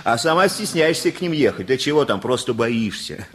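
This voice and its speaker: A man's voice: mid-range voice, big pitch swings, a little fast, fairly bright tone, slight background noise. An actor playing a garrulous, teasing middle-aged working man in a Russian radio play, with a folksy, needling manner. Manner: folksy, needling, chuckling, repeats words.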